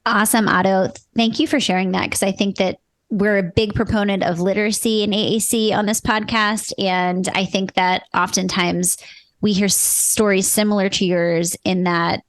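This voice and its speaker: A woman hosts an interview in a casual, chatty way and circles through her questions with many fillers. Her voice sits at a middle pitch. The sound is close and dry. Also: steady tone, moderate pace, no room sound. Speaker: podcast co-host.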